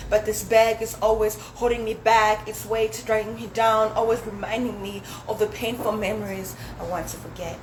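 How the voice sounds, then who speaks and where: A woman's voice: medium pitch, little pitch movement. Woman reciting spoken word. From Zambia. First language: Swahili. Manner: reflective, measured recitation. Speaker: poet. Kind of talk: spoken word poetry performance. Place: indoor dinner event hall. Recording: roomy hall with background noise.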